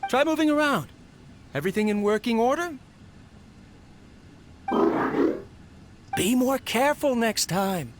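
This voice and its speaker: A man's voice: very high-pitched, very animated, fairly quick, noisy background. An actor playing a weary, lonely researcher who talks tenderly and earnestly to his robot in a video game. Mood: gentle, fussing. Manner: wistful, earnest, soliloquizing. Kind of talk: video game cutscene acting, taped log.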